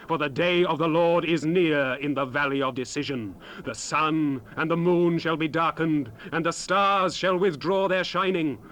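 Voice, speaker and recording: A man playing a solemn, fervent Bible prophet in a dramatized scripture recital, with grand, measured, declamatory phrasing. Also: high voice, a bit bright. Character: male prophet Joel proclaiming God's words, solemn and fervent. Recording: noisy unprocessed 1970s cassette rip.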